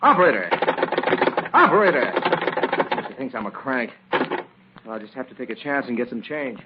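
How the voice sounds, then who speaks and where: A man's voice: medium pitch, big pitch swings, normal speed, close to an everyday voice. An actor playing a desperate, hunted man, urgent and earnest, in old radio crime drama style. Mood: frantic. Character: desperate hunted man, a doomed racket associate. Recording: muffled, noisy old radio recording.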